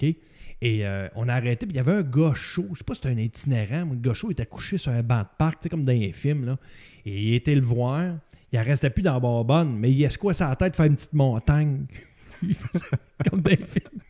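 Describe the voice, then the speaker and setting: A man with a Québécois accent hosting a casual comedy show, telling stories in an animated way with frequent laughter. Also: mid-range voice, fairly quick. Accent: Québécois French. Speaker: host. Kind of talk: casual comedy podcast chat.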